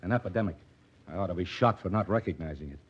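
Young new doctor, low n raspy, polite, unsure, serious, dull old radio sound. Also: male voice, fast talker. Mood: grave, earnest. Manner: hesitant, polite, earnest. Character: earnest young newly qualified doctor, diffident but principled. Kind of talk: vintage radio play acting.

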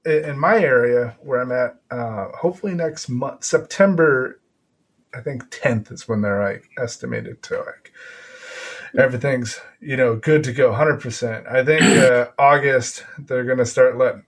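Guy, guest; mid-pitched, chatty, keeps restarting himself. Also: measured pace. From United States. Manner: rambling, self-interrupting storyteller with repeated restarts. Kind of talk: casual chat.

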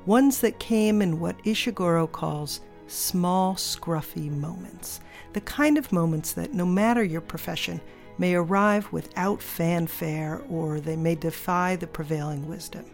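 A woman host reading a scripted narration in a measured, deliberate way. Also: fairly low pitch, creaky, darkish tone, faint music behind.

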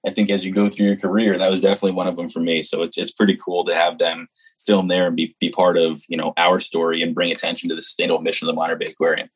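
A man's voice: deep voice, flat delivery, racing, slightly rough, rough recording. A man from New Hampshire, an executive chef, speaking as a guest in a casual podcast interview with earnest enthusiasm. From New Hampshire, US East Coast. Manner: enthusiastic, earnest, talks in long run-on stretches.

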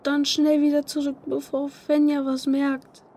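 Kids' audio drama: woman plays weak, dazed small boy, high-pitched, dragging words, faint ambience. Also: monotone, dark tone, a little hiss or hum. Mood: dazed, drowsy. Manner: slow, dazed murmuring. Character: Aki, weak exhausted little brother, dazed young boy. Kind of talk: children's audio drama acting.

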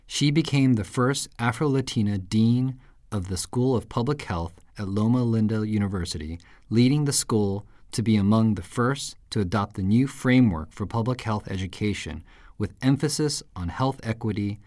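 A man's voice: on the low side, moderate pace, a little mellow, dry recording, clean studio sound. A man born in Japan hosting a podcast, reading a scripted introduction and then asking questions with a few hesitations. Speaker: podcast host. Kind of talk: podcast interview.

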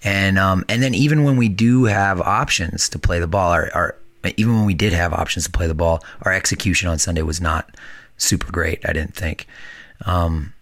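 A man co-hosting a casual soccer podcast, talking bluntly and analytically with a hoarse voice from shouting. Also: low-pitched, steady tone, normal speed, no echo. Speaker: podcast co-host.

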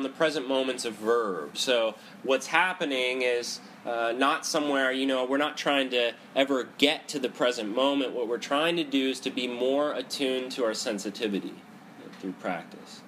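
A man with a head cold teaching a seated group about meditation, talking loudly in a conversational way and often checking in with "right?". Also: mid-range voice, normal speed, a little hiss or hum, low-quality recording. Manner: loud talker; conversational, frequent "right?" and "you know". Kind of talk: Buddhist psychology talk to a group. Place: indoor room with a group, seated cross-legged.

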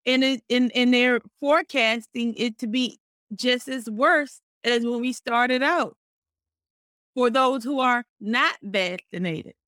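A middle-aged woman co-hosting a casual podcast, expressive and animated, speaking warmly about faith and community. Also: fairly high pitch, slow, no echo. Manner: warm, expressive, talks about faith and blessings; frequent fillers and restarts, animated storytelling. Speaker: podcast co-host.

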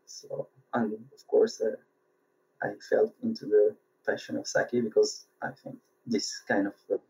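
A man from France and Tunisia answers questions slowly and hesitantly, often stopping to search for words. His voice is low and husky, and it comes over a dull lo-fi remote line. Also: flat delivery, warm tone. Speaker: guest, sake brewer. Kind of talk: interview answers.

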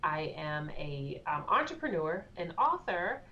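A composed woman from Austin, Texas, a therapist, speaking articulately as a podcast guest. Her voice sits low for a woman. The audio is muffled and lo-fi, with a faint hiss. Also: very animated, measured pace. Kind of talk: interview answers. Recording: dull lo-fi sound with faint noise.

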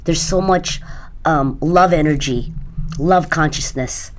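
A woman's voice: sits low, slow. A middle-aged woman from India, a native Gujarati speaker, answering earnestly as an interview guest in a conversational way. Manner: earnest spiritual talk. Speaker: podcast guest.

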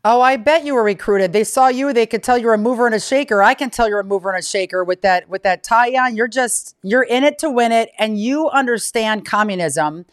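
A woman's voice: fairly high pitch, brisk, hardly any pauses, no room sound, studio quality. A woman hosting an interview podcast, chatty and upbeat as she introduces and questions her guest. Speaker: podcast host.

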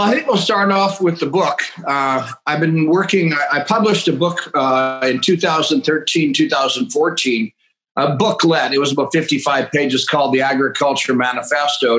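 A man's voice: mid-range voice, normal speed, fairly bright tone, low-quality recording. A man in his fifties with a Canadian accent, explaining things conversationally as a podcast guest. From northeastern Alberta, Canada. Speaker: podcast guest, agriculture entrepreneur.

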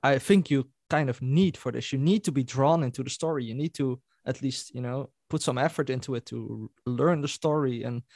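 A man's voice: mid-range voice, brisk, hardly any pauses, darkish tone, no room sound, studio quality. A Dutch-speaking man in a band, telling a story in a casual interview and laughing as he goes. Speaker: band member.

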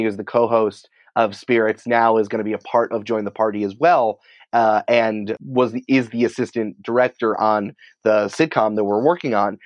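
Low-voiced man in casual interview, dull sound. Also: a little mellow, no room sound. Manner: informal, colloquial, explanatory. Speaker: podcast company creative head, interview guest.

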